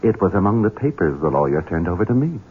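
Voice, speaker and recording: An actor playing a young, cruel, scheming heir who speaks in a cold, menacing, insinuating way, in a radio drama. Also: male voice, deep voice, sing-song, fast talker, a little hiss or hum. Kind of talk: 1970s radio drama acting. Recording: tinny lo-fi radio broadcast.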